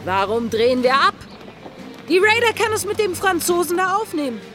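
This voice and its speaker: A woman's voice: extremely high pitch, big pitch swings, fairly quick, music in the background. An actress playing a bold, defiant pirate woman in a German radio drama, challenging and insistent. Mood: defiant, urgent. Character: bold, defiant female pirate plotting mutiny, fearless. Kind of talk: German audio drama acting.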